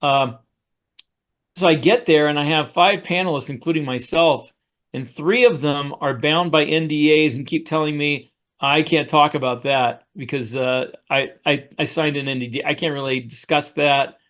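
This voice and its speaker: A man with a slightly high voice chats casually as a podcast co-host. He tells his stories in a halting, rambling way, circling back as he goes. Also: a bit bright. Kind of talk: casual anecdotal chat.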